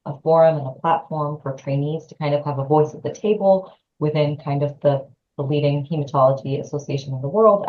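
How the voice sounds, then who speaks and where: A woman's voice: deep voice, dull audio. A woman from Lebanon, an assistant professor answering interview questions thoughtfully, with hesitant fillers.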